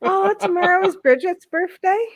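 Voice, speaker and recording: A woman's voice: extremely high pitch, normal speed. A woman in her twenties co-hosting a podcast, joking and teasing in loose banter. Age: 20s. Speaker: podcast co-host. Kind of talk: casual banter.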